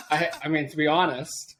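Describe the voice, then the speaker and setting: A man in his late twenties from Washington State, a guest talking over a video call, laid-back and quick to laugh. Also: fairly high pitch, noisy background. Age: 20s. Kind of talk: casual interview chat.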